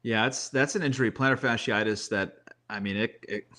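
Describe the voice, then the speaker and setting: A man's voice: on the low side, fairly quick, sharp tone. Man talking baseball emphatically. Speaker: sports commentator guest. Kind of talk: animated baseball talk.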